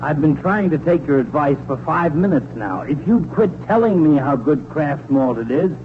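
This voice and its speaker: A man's voice: on the high side, lively intonation, moderate pace, close to an everyday voice. Guy acting fed up middle aged dad, 1940s radio comedy, voice swoops around, thin tinny sound w some hiss. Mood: weary, impatient. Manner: exasperated, put-upon. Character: exasperated, long-suffering sunburned middle-aged father.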